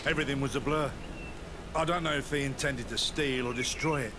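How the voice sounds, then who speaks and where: A man's voice: medium pitch, brisk, background noise, lightly acted. A man playing a shaken, deferential caravan survivor in a video game, recounting things in a grave, anguished way. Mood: weary, grave. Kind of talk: scripted video game dialogue.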